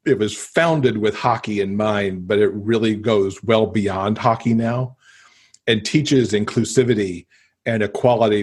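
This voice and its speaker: A man's voice: lowish voice, darkish tone, slightly rough. A man speaking as a podcast guest in casual conversation, explaining at length with conversational asides.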